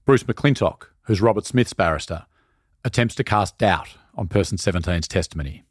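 A man narrating a scripted documentary podcast in a measured, serious way. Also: low voice, husky, no echo, clean studio sound.

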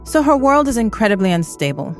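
A woman who grew up in East Africa, hosting and narrating a documentary podcast in a measured, earnest way. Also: a little high, sing-song, soft background music.

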